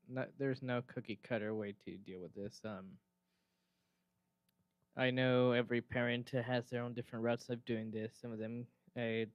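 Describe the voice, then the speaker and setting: A man's voice: medium pitch, no room sound, muffled recording. A man speaking as a panelist, answering questions in a candid, casual way.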